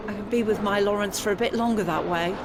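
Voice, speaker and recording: A woman's voice: mid-range voice, fast, noisy background, close to an everyday voice. An actress playing an older bereaved widow and mother in an audio drama, plaintive and stubborn in manner. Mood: wistful. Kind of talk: naturalistic audio drama acting.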